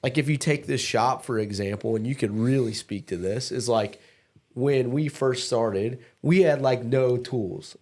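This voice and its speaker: A man's voice: medium pitch, measured pace, a little mellow. A man speaking as an interviewer in a casual, encouraging conversational style.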